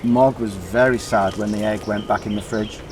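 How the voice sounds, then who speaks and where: A man's voice: on the low side, steady tone, normal speed. Man reading a children's story, noisy background. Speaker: storybook reader. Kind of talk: children's story read aloud.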